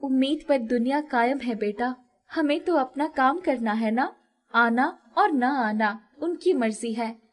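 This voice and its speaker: A woman's voice: sits high, a little slow. A woman voicing a hardworking, firm, practical poor mother who sells tea in a cartoon moral story. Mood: firm, coaxing. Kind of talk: animated Hindi moral story acting.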